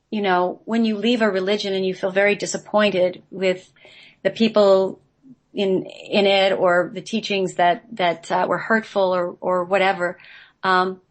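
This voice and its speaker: Woman, mid-range pitch, radio guest, conversational explaining, earnest, halting phrasing. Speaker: guest on a spiritual radio show.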